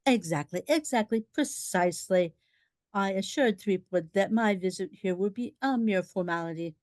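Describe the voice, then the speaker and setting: A shifty gentleman fixer, played by a woman in a radio play. He speaks with oily, formal politeness at a middling pitch. The sound is close and dry. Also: moderate pace, dry recording, natural voice. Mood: ingratiating. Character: shifty, ingratiating gentleman fixer running a delicate errand.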